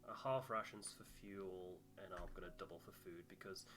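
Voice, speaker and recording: A man with a fairly low but bright, forward voice talks through a game he is playing. He thinks aloud casually, muttering over his choices, with faint music underneath. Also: fairly even intonation, normal speed. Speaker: gamer playing and commenting on a playthrough. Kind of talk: casual gameplay commentary.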